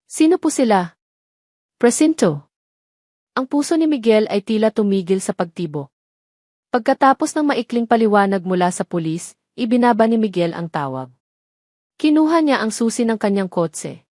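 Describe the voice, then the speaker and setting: A woman narrates a dramatic audio story in a medium-pitched voice. She reads in a measured way, setting short phrases apart with pauses. The sound is dry and free of room echo. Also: lively intonation, darkish tone.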